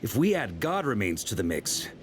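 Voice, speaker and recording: Cast a confident knight leader with an animated, commanding delivery. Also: male voice, on the low side, a little hiss or hum. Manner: measured, authoritative explanations. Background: game music.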